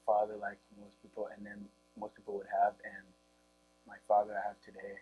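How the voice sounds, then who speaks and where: A teenage male athlete with a Congolese accent gives informal interview answers. His pitch barely moves, and he speaks haltingly and casually. The sound is dull, with a faint hum. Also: completely flat delivery. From Democratic Republic or Republic of the Congo. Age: teens. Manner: halting, informal, leans heavily on "like". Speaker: high school football player being interviewed. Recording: muffled, with faint electrical hum.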